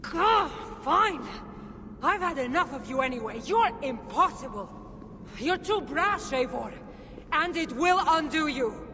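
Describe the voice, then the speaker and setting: A woman's voice: sits high, expressive, a little slow, sharp tone, heavily acted. An actress playing a determined, weary lone warrior woman in a video game, speaking earnestly and emphatically. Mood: exasperated. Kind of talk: dramatic video game cutscene acting. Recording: echoing game scene with clear ambient noise.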